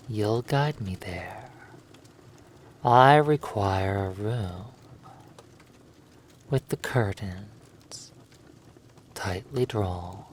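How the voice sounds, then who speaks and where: Guy doing a creepy calm vampire for hypnosis roleplay, super slow and commanding, bit of background noise. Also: fairly low pitch. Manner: slow, formal, deliberate, menacing. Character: predatory, commanding male vampire stranger, hungry and calm. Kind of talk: hypnosis roleplay audio acting.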